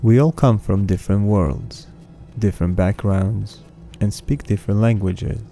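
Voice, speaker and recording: A man in his thirties whose first language is Russian hosts a podcast in a low, deeply mellow voice. He recites his own lyrics in an earnest, measured way. Soft music plays faintly underneath. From Russian-speaking background. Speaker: podcast host. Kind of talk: scripted podcast narration and lyric reading. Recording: faint music bed behind voice.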